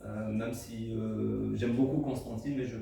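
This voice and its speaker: A man's voice: lowish voice, fairly even intonation, unhurried, creaky, a loud crowd. Hesitant Arabic-speaking man in an echoey hall. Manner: hesitant, many fillers and restarts. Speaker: co-author presenting his book. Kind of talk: unscripted book talk to an audience. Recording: echoey room, mic at a distance, faint noise.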